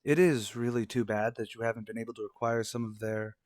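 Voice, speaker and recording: Cold, condescending husband for a daytime radio soap, male actor. Formal, measured diction, medium pitch, dry sound. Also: level tone, a little mellow, no echo, natural voice. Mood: sardonic, reproachful. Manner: formal, measured, pointed rhetorical questions. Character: cold, accusing husband and father, sardonic and condescending.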